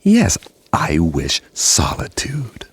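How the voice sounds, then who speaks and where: A man playing an irritable, weary space traveller in an audio drama, gravelly and sardonic, with dramatic emphasis. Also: low-pitched, wildly swooping pitch, extremely slow, full character voice. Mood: weary, resigned. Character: weary, irritable male space traveller confined aboard ship. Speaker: lead actor in an audio drama. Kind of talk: radio drama dialogue and first-person narration.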